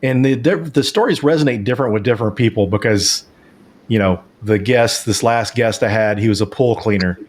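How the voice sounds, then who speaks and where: A man's voice: on the low side, normal speed. A man hosting a podcast in a conversational interview style. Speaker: podcast host.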